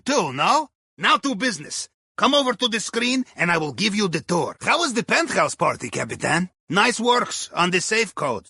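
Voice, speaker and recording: An actor playing a jovial, deferential Russian submariner in a video game, speaking courteous, slightly broken English with a Russian accent and wry dark humour. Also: male voice, high-pitched, vocal fry, husky, dry recording, clean studio sound, heavily acted. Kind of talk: video game character dialogue.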